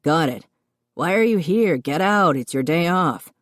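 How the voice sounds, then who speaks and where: A woman doing an excitable chatty male cook. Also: low-pitched, unhurried, no room sound, studio quality.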